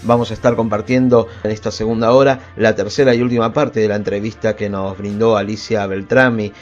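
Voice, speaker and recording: Low-voiced Buenos Aires man hosting radio. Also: little pitch movement, brisk. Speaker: radio host. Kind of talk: radio presenting. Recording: dull lo-fi radio stream. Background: faint music.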